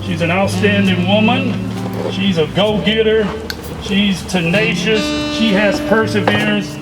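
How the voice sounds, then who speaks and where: Man, emcee, high-pitched, cheering, playful, music playing loudly. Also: fairly even intonation, measured pace, rough recording. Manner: upbeat, cheering, playful teasing. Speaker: event emcee. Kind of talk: ceremony emcee speech.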